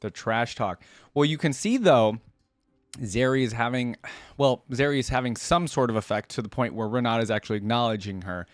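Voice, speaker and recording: A man's voice: medium pitch, brisk, no echo, clean studio sound. A man hosting a reaction video, casually musing aloud and explaining his opinions in a conversational way.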